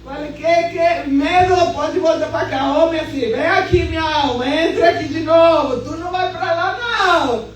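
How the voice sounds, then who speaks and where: A woman in her fifties with a Brazilian accent preaching as a pastor, moving into colloquial, animated and emphatic personal storytelling. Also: very high voice, normal speed, hardly any pauses, slight background noise. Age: 50s. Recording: echoey hall, distant sound, music underneath.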